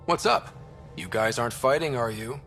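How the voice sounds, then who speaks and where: Easygoing male space explorer acted, mid-pitched. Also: normal speed, a bit bright, faint music behind, natural voice. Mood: easygoing, confident. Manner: casual, level-headed, dry. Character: young easygoing male human explorer leader. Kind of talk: video game cutscene acting.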